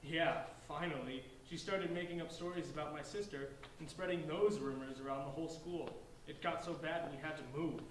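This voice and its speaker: A man's voice: on the high side, fairly even intonation, moderate pace, few pauses, natural voice. A man playing a polite, bookish fifteen-year-old outsider with an earnest, wordy manner in a staged play reading. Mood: earnest, indignant. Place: large echoey indoor room, distant microphone. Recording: echoey room from far off, faint noise.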